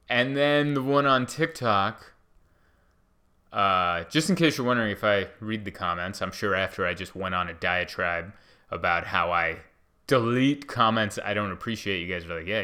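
Bright-voiced man with a slight slur. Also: fairly low pitch, moderate pace. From Hungary. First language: Hungarian. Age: 30s. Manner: slightly slurred, rambling diction. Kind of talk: casual chat.

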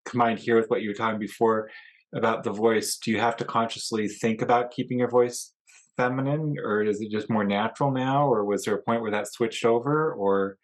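A man's voice: on the low side, steady tone, brisk, low-quality recording. A man hosting a casual conversation, chatty and prone to rambling restarts. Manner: chatty, rambling, self-interrupting. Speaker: podcast host.

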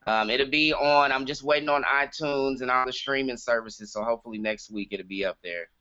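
A man being interviewed as a musician guest on an online call, relaxed and friendly. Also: medium pitch, fairly quick, no echo. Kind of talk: casual interview over a video call. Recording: muffled online call audio.

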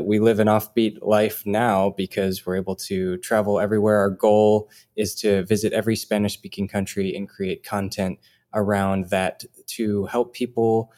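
A man's voice: fairly low pitch, a little mellow. A man from Minnesota, a former music producer, telling his story as an interview guest. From Minnesota, USA. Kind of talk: casual interview chat.

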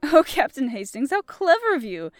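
A woman's voice: high voice, big pitch swings, moderate pace, no room sound, clean studio sound, soft background music, pitched above the performer's own voice. A woman reading aloud and voicing a chatty, breathless middle-aged housewife who gushes and rambles. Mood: gushing. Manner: gushing and rambling.

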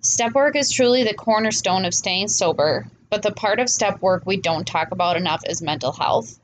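A woman with a stuffy, congested voice from a cold hosts a solo podcast. She talks in an earnest, chatty, personal way at a middling pitch. A faint background noise sits under her voice. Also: lively intonation, moderate pace, low-quality recording. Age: adult parent. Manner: earnest, chatty, laughs at herself. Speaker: podcast host. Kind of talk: casual solo podcast talk.

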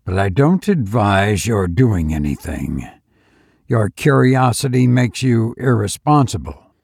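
Guy reading as solemn gray-bearded man in a horror story, slow uneasy warnings, dry sound. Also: medium pitch, vocal fry, a little mellow, slightly rough, no echo, full character voice. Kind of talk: horror story audiobook dialogue.

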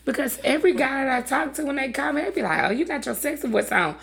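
Raspy-voiced woman, loud and blunt. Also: high voice, lively intonation, fast. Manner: loud, laughing, blunt and profane. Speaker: podcast co-host. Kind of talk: casual chat.

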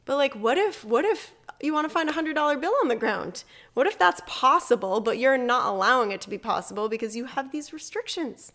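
Woman, fairly high voice, casual chat host, informal, goofy, slangy. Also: animated, fairly quick, a bit bright.

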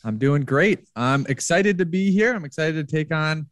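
A man's voice: a little high, expressive, normal speed, no echo, clean studio sound. A man hosting a podcast interview, talking in a loose conversational way with frequent repeats. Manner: conversational, stumbles and repeats words. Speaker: podcast host.

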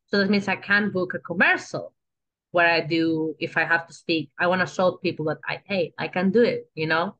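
A woman's voice: on the low side, fairly even intonation, moderate pace, fairly bright tone, lo-fi sound. A woman with a Greek accent, a trained actress and guest on a podcast, talking casually and often restarting her sentences. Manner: chatty, restarts sentences, asks "you know?" often.

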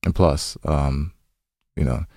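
A man's voice: very deep voice, steady tone, slow pace, gravelly, mellow, no echo, clean studio sound. A man co-hosting a podcast in casual, slangy banter, often repeating himself. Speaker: podcast co-host.

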